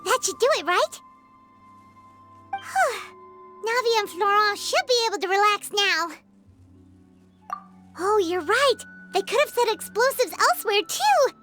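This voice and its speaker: Game fairy companion: very high childlike squeak, excitable, animated exclamations, music behind. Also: female voice, unhurried, long pauses, full character voice. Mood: relieved, pleased. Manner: childlike, squeaky, exclaiming, speaks of self in third person. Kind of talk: video game voice acting.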